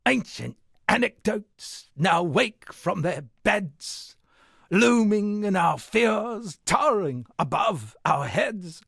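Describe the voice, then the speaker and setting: An actor voicing an eccentric washed-up sea captain and would-be poet in a video game, gravelly and husky, with theatrical, rambling, florid delivery. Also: male voice, high voice, animated, slow pace, a bit bright, no echo, studio quality, exaggerated voice. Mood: grand poetic declaiming. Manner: theatrical, rambling, florid old seafaring turns of phrase. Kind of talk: video game character dialogue.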